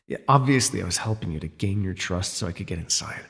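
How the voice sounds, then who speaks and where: A man playing a jaded, wry imprisoned telepath supervillain in an ASMR audio roleplay, speaking in a sardonic, weary, gravelly way with sighs. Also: low voice, expressive, a little fast, fairly bright tone, big-room sound, heavily acted. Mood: defensive, forceful.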